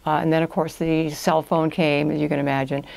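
Older lady on a podcast, pretty deep voice, crackly, explaining stuff step by step. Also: level tone, fairly quick, vocal fry, darkish tone. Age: older, about 60s or 70s. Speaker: guest, language institute owner. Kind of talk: conversational interview answers. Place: small indoor podcast studio.

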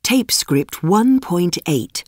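A woman announcing textbook tracks and reading the alphabet slowly and deliberately. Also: lowish voice, very animated, darkish tone, dry recording, clean studio sound. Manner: slow, deliberate and carefully spoken. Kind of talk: scripted lesson announcements.

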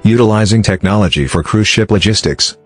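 A man reading a scripted explainer narration in a measured, formal way. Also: lowish voice. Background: background music.

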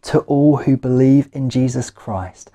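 A man preaches in an unhurried, deliberate way. His tone is mellow and relaxed, yet he leans on key words and repeats phrases for emphasis, leaving measured pauses between them. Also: mid-range voice. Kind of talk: sermon. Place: small living room at home, indoors.

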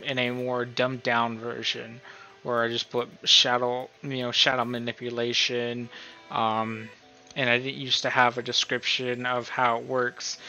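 A man's voice: medium pitch, sharp tone, dull audio. A man hosting a casual screen-recorded tutorial, explaining things in an informal, rambling way. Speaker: host of a role-play tutorial.

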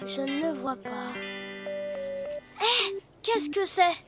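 An actress voicing a friendly little donkey child in a children's cartoon, with a gentle, slow way of talking. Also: female voice, high-pitched, big pitch swings, full character voice. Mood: eager. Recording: music playing behind.

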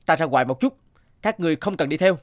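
A man's voice: fairly high pitch, animated, quick pace, dry recording, studio quality, natural voice. An actor playing a principled young male county magistrate in a cartoon fairy tale. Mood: calm.

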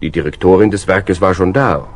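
A man narrating a children's adventure radio play as a wry storyteller. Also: brisk. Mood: amused storytelling. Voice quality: deep, husky.

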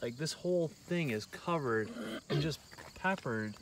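A man's voice: a little high, lively intonation, unhurried, a bit bright. A young man chatting playfully in a casual travel vlog, filmed on a phone in a food court, a car and a park. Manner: enthusiastic, playful. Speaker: travel vlogger. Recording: noisy phone video with outdoor ambience.

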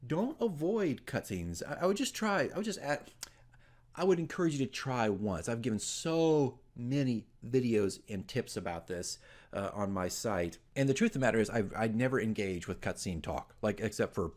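A man's voice: medium pitch, expressive, normal speed, darkish tone. A man in his forties hosting a casual opinion vlog, talking emphatically and conversationally as a game master giving tips. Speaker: dungeon master giving tips as channel host. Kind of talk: casual opinion talk to camera. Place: small furnished room at home, indoors. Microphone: desk microphone in front of him.

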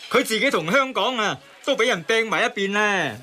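An actor playing a blustering henpecked husband in a satirical radio drama, speaking Hong Kong Cantonese. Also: male voice, high-pitched, lively intonation, moderate pace, pushed and bright, slight background noise. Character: blustering henpecked husband, fawning to his wife.

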